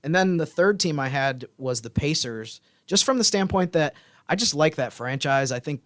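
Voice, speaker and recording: A man co-hosting a sports podcast, explaining his views in a casual, measured way. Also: fairly high pitch, animated, a bit bright, dry recording, studio quality. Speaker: podcast co-host. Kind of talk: casual sports analysis chat.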